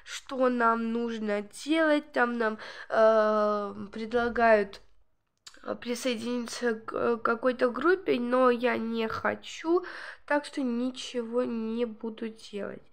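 A woman chatting casually while playing a game, her voice a little hoarse from a cold, rambling with many fillers. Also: fairly high pitch, steady tone, slow pace. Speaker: gameplay channel host. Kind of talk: casual gameplay commentary.

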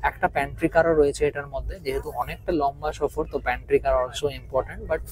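Young man, fast, lively travel-vlog host, clear train rumble behind. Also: low-quality recording. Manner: lively, chatty, points things out to viewers. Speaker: travel vlogger. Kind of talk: casual travel vlog narration. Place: train coach and station platform, mostly moving train.

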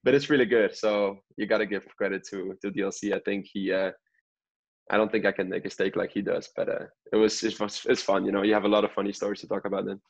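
A man's voice: lowish voice, level tone, fast, muffled recording. A man in his twenties, a pro soccer player, chatting casually as an interview guest. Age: 20s. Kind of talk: casual podcast interview chat.

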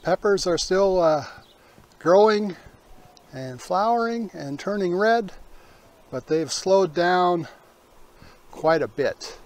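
A man, hosting a casual garden tour in a folksy, unhurried way outdoors. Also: sits high, animated. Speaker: gardening vlog host.

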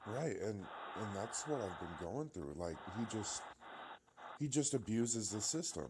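A man's voice: fairly low pitch, sing-song, measured pace, a little mellow. A man calling a helpline, talking casually in a phone conversation. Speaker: helpline caller. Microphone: telephone. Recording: noisy phone call recording.

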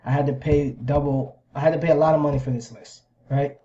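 A man in his thirties talking through a screen-share explainer casually, in a halting, repetitive way as if thinking aloud. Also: on the high side, fairly even intonation, warm tone. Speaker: video host sharing a business plan. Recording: slightly dull, lo-fi microphone sound.

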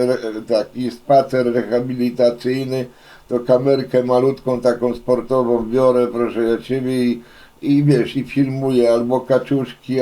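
Slow, mellow older man chatting. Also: medium pitch, low-quality recording. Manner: folksy, chatty, frequent filler phrases and repetitions. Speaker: amateur radio operator, hobby video maker. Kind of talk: rambling casual radio chat.

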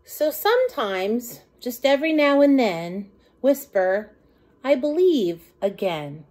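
Animated, high-pitched woman reading a picture book. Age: middle-aged. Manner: slow, deliberate, sing-song delivery for young children. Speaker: children's storytime reader.

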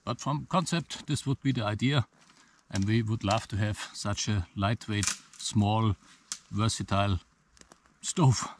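A man's voice: lowish voice, fairly even intonation, unhurried, slight background noise. A man with a German accent, a native German speaker, explaining a camp stove step by step outdoors in a forest. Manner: explains step by step, plain simple phrasing. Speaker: bushcraft instructor. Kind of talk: outdoor demonstration vlog.

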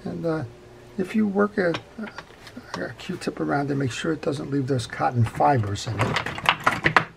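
A man's voice: on the high side, measured pace, creaky, a bit bright, a little hiss or hum. A middle-aged man giving an unscripted hands-on repair tutorial in a methodical, plain-spoken way at an indoor workbench. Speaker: fishing reel repair instructor.

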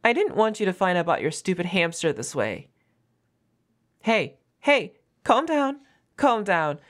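A woman's voice: medium pitch, sing-song, unhurried. A woman voicing a defensive, flustered young half-wolf girl roommate in an audio roleplay, stammering and evasive with a whiny manner.

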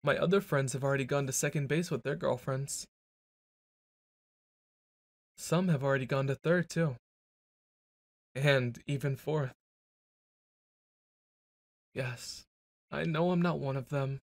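Slow, teasing young man in roleplay. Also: mid-range voice, gravelly, dry recording. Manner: brash, teasing, flustered stammering. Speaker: boyfriend roleplay performer. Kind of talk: ASMR boyfriend roleplay.

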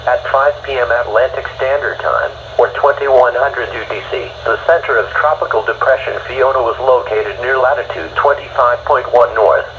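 An American man's synthetic text-to-speech voice reading a weather bulletin in a flat, formal way. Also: medium pitch. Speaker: automated weather radio announcer. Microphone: phone held to a radio speaker. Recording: dull radio broadcast re-recorded by phone, faint noise.

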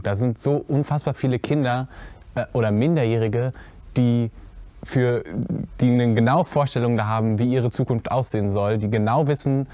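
Indignant young man with a mellow voice. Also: medium pitch, dry recording. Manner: indignant, with rhetorical questions. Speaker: interview guest. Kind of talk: casual interview answer. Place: indoor stage hall with curtains.

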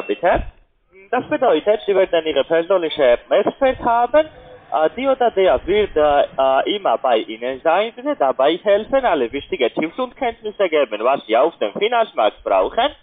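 A man with a foreign accent in German giving a hesitant, polite sales pitch as a call centre agent, often ending on little questions. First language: not German. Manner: hesitant, polite, repeatedly tags sentences with questions. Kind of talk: halting phone sales pitch. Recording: muffled, narrow telephone line.

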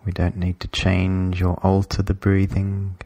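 A man leading a guided meditation in a calm, measured, instructional way, with long pauses between phrases. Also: deep voice, no echo, highs cut off.

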